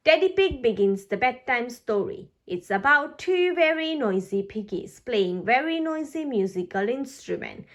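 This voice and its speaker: A woman reading a children's storybook aloud in an expressive, deliberate way. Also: on the high side. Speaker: storybook narrator.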